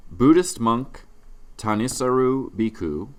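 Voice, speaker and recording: A man's voice: mid-range voice, animated, slow, a little mellow. A man co-hosting a comedy podcast in casual banter, with dry, sarcastic joking. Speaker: podcast co-host.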